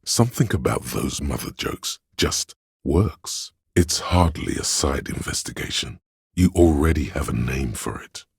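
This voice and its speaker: A man voicing a detective's pretentious, arty inner voice for a video game, theatrical and deliberate, with a gravelly, husky tone. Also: very deep voice, expressive, dry recording, studio quality, exaggerated voice. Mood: wry, reflective. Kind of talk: video game narration, second-person commentary.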